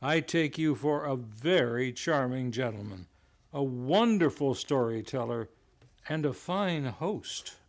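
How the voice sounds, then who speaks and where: An actor playing a courteous, gently teasing host of an old-time radio show, polite, measured and formal. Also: male voice, medium pitch, animated. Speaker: host and announcer.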